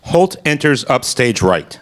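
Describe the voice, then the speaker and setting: A man hosting a radio playhouse, joking with the audience in a self-mocking way before reading out the stage directions. His mid-pitched voice moves animatedly up and down. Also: big pitch swings, slow. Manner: jokey, self-mocking, talking straight to the audience. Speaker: host and narrator. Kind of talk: comic host monologue and stage directions.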